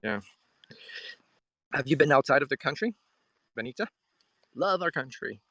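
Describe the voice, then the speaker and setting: A man's voice: mid-range voice, animated, moderate pace, sharp tone, no room sound. A man from Hong Kong live-streaming in a casual, musing, conversational way, reading comments aloud and replying to viewers. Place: outdoors at a riverside.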